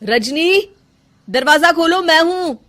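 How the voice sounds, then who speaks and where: A woman's voice: very high voice, expressive, slow pace, sharp tone, pitched above the performer's own voice, full character voice. An earnest young husband in a cartoon family drama, acted by a woman narrator. He coaxes and questions emphatically, his voice rising and falling with plenty of movement. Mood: shocked, stern.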